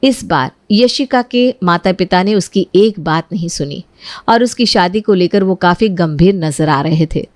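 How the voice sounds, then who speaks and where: A woman's voice: fairly low pitch, lively intonation, measured pace, no echo. A woman narrating a family drama story in a scripted, dramatic storytelling style.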